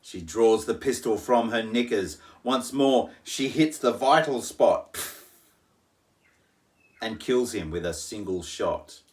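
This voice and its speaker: Slow, animated middle-aged male storyteller. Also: fairly low pitch. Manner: dramatic pauses, playful asides. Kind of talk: animated read-aloud of children's rhyming story. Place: small home living room, in an armchair.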